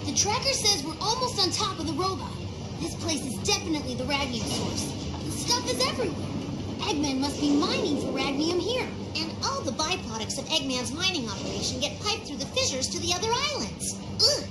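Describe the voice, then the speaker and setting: A woman voicing an excitable, scrappy young female sidekick in a cartoon video game, animated and eager. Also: very high voice, fairly quick, reverberant, loud music behind the voice, rough recording. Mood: excited.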